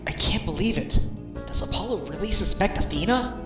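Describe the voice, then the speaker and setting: A man playing an earnest, wry defense lawyer with a measured manner in a video game fandub. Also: medium pitch, lightly acted. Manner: measured, earnest, dry asides. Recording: echoey room, music behind.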